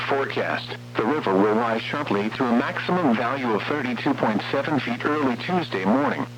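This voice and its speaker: A synthetic text-to-speech man's voice with an American accent reads a formal, measured emergency weather bulletin over weather radio. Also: mid-range voice, animated, creaky, a bit bright. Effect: weather radio broadcast. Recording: dull noisy weather radio with hum.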